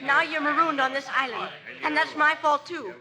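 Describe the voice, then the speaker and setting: A man's voice: extremely high pitch, moderate pace, a loud crowd. A male actor playing a plucky, honest young cabin boy, earnest and bold, in a dramatized adventure story. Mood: defiant. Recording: very noisy, crackly old record.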